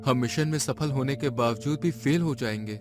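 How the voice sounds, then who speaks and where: A man voicing a worried, fearful young space explorer in an animated story. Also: medium pitch, normal speed, over music, lightly acted.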